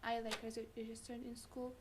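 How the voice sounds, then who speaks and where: A woman's voice: a little high, level tone, brisk, noisy background. A young woman from Syria, a student, answers interview questions casually with frequent fillers. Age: young adult. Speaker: student guest.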